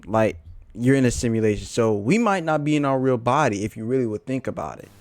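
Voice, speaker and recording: A man's voice: medium pitch, lively intonation, fairly quick, dry recording, studio quality. A man giving casual, slangy reaction commentary as a video host, repeating phrases for emphasis.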